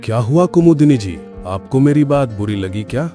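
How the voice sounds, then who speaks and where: A man's voice: mid-range voice, lively intonation, normal speed, dark tone, faint music behind, natural voice. A man playing a kind, courteous father billy goat in a cartoon story, speaking politely and earnestly. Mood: tender, hopeful. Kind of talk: Hindi cartoon story acting.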